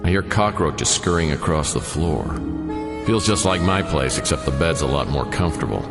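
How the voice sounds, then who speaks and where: An actor playing a wisecracking hard-boiled detective who narrates in a dry, sardonic noir style. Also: male voice, very deep voice, lively intonation, normal speed. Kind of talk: noir radio drama narration. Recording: reverberant with music behind.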